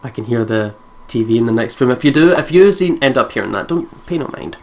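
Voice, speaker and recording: A woman's voice: rumbling low, lively intonation, fast talker, darkish tone. A Scottish woman hosting a let's play, chatting loosely and swearing as she goes. She laughs a lot and reads the game's text aloud in between her comments. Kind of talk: casual gameplay commentary and reading aloud.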